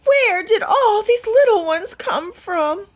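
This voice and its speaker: A woman's voice: extremely high pitch, lively intonation, takes it slowly, voice pushed up, full character voice. A woman voicing a fretful, motherly hen in a storybook, clucking and exclaiming. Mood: amazed. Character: motherly, fretful female hen longing for chicks.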